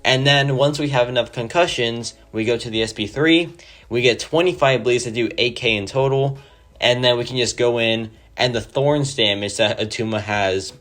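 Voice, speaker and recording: A man with a hoarse, sore-throated voice giving casual, enthusiastic gameplay commentary over a home mic. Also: fairly low pitch, fairly bright tone. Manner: enthusiastic, rambling, many "like" fillers. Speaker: mobile game player.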